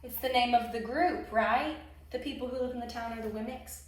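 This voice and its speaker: Woman, higher-pitched voice, expressive children's read-aloud, asks the listeners questions, very echoey room. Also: brisk. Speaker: children's storybook reader. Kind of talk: read-aloud storytelling to young children with asides. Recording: very echoey room, distant microphone.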